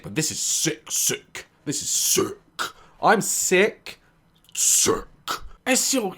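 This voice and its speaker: Hoarse, jokey man hosting reactions. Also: on the high side, pushed and bright. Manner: jokey, irreverent, swearing. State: hoarse, recovering from a sore throat. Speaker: reaction video host. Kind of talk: casual reaction commentary.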